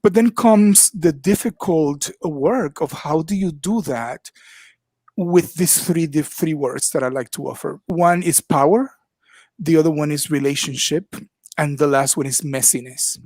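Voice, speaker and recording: A man's voice: fairly high pitch, darkish tone, dry recording. Older man, thoughtful headset voice. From Dominican Republic. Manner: thoughtful, frequent ums, measured. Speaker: panelist, minister of worship arts. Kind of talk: reflective panel talk. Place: home kitchen. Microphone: headset on video call.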